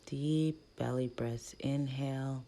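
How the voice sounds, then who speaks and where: A woman guides a meditation in a very low, soft-toned voice. She speaks slowly and gently, almost hushed, pausing between short soothing instructions. There is faint noise behind her. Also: steady tone. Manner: gentle, hushed delivery with long pauses between short phrases.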